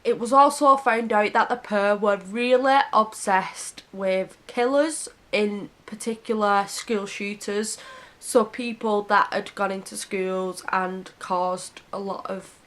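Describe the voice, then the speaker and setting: A woman telling a true crime story to camera in an earnest, heartfelt way. Her voice is hoarse and sniffly from a cold. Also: medium pitch, fairly even intonation, slow, a bit bright.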